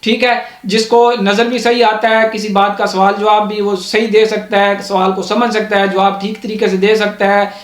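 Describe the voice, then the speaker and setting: A man's voice: high-pitched, level tone, moderate pace, few pauses. A man with a Pakistani accent explaining law informally, repeating his points and often checking in as he goes. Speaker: legal educator. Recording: echoey, reverberant room.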